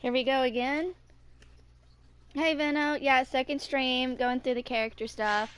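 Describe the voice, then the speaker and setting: Woman, twenties, slightly higher-pitched voice, laid-back, casual live stream chat, unscripted. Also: fairly even intonation, measured pace, a bit bright, clean tone, no echo. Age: 20s. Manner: laid-back, sing-songs filler syllables to self.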